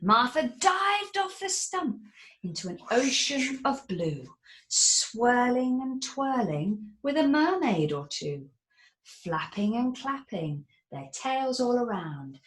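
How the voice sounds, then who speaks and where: Lady reading a kids rhyme book, slow and sing-songy, swoops all over. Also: on the high side, low-quality recording. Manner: animated, theatrical storytelling. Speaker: narrator. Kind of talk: reading a rhyming picture book aloud.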